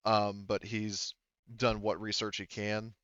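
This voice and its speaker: Low, clear-voiced male game master. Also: completely flat delivery, slow, fairly bright tone, no room sound, dull audio. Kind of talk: casual explaining in a tabletop roleplaying game.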